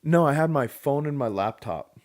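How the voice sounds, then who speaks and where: A man's voice: medium pitch, animated, normal speed. A young man trades easy banter as a podcast co-host. He talks in a loose, joking way and drops the odd swear word without fuss. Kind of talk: casual chat.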